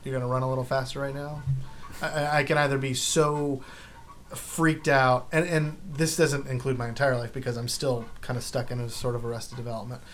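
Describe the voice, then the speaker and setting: A man's voice: mid-range voice, flat delivery, fairly quick, fairly bright tone, slight background noise. A man hosting a podcast, talking in casual chat in a blunt, joking, opinionated way.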